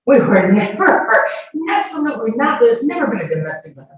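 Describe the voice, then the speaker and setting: A woman's voice: fairly high pitch, very animated, fairly quick. A woman giving testimony as a witness in a courtroom, emphatic and defensive in her answers. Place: indoor courtroom. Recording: very echoey, distant, faint hiss.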